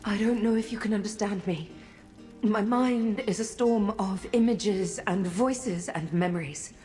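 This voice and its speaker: An actress playing a disoriented, fragile goddess in a video game, speaking haltingly and cryptically in a pleading way. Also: female voice, mid-range voice, darkish tone, lightly acted. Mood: dazed, halting. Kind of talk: scripted video game character acting. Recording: long reverb, music behind.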